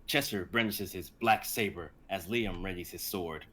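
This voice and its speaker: Bright-voiced young man narrating. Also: sits low, moderate pace, husky. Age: young adult. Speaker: narrator, stream performer. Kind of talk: dramatic narration of an amateur script.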